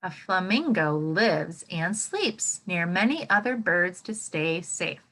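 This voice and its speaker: Female primary-teacher type for children's read-aloud: slow pace, bright tone, expressive, questioning the listeners. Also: lowish voice, poor audio. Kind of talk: interactive children's nonfiction read-aloud lesson.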